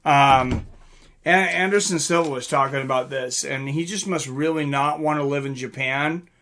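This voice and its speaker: A man's voice: mid-range voice, normal speed, a bit bright. A man hosting a live stream in a casual, rambling, mildly profane way, chatting and answering viewer comments.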